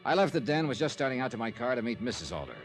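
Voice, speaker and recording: An actor playing a wry, hard-boiled insurance investigator in a 1950s radio drama, with punchy, clipped delivery. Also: male voice, mid-range voice, sing-song, very fast, natural voice. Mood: wry narrating. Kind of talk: 1950s radio detective acting and narration. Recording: old radio recording with music.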